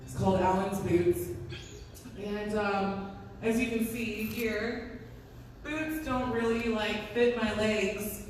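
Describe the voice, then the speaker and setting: A woman in her thirties with a raspy voice, a singer-songwriter chatting on stage between songs in a live music venue, rambling and self-deprecating. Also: medium pitch, slow pace. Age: 30s. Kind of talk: casual stage banter. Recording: echoey room, mic from a distance.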